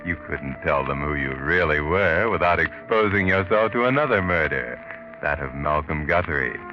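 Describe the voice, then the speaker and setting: An American actor playing a sinister, all-knowing narrator of murder tales, deliberate, dramatic and taunting, in 1940s radio style. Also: male voice, rumbling low, animated. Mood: ominous. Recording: muffled 1940s radio recording. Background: dramatic music.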